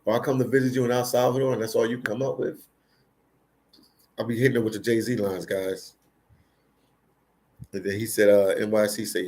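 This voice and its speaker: A man's voice: lowish voice, darkish tone. A middle-aged American man hosting a casual livestream, reading viewer comments aloud in a laid-back, slangy, teasing way. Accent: American English.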